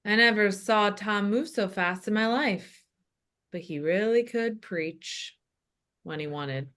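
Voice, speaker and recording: A woman's voice: medium pitch, slow, a bit bright. Woman acting a chatty comic storyteller. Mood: reminiscing. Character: chatty, good-humored church cook telling jokey anecdotes. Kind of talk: comedy script table read.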